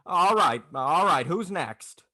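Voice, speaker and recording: A man's voice: on the high side, expressive, slow, bright, forceful tone, no echo, exaggerated voice. A man playing an earnest, flustered small-town family man in a 1940s radio drama, stammering in a folksy way. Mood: excited.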